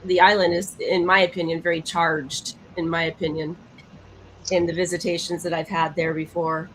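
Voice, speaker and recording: A woman's voice: fairly low pitch, little pitch movement, moderate pace, fairly bright tone, low-quality recording. Emphatic, chatty woman with faint noise. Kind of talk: casual chat.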